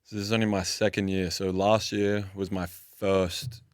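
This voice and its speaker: An Australian man in his twenties, a guest on a podcast, talking in a relaxed, laid-back way. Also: deep voice, normal speed, smooth, no echo, studio quality. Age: 20s. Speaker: basketball player guest. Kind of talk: casual podcast interview.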